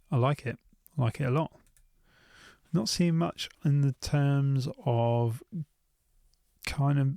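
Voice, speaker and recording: A British man talks through gear in a casual, unscripted way. His mid-pitched voice has plenty of vocal fry, and the sound is close and dry. He thinks aloud and tends to repeat short phrases. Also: darkish tone, dry recording. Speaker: YouTube gear reviewer. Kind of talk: casual unscripted shopping commentary.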